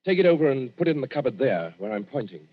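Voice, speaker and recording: An actor playing an anxious, haughty gentleman doctor, hesitant then commanding, in 1940s radio style. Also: mid-range voice, very animated, fast talker. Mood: tense, agitated. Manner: clipped, agitated, hesitant, commanding. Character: nervous, guilt-ridden, haughty gentleman doctor. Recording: muffled old radio drama with music.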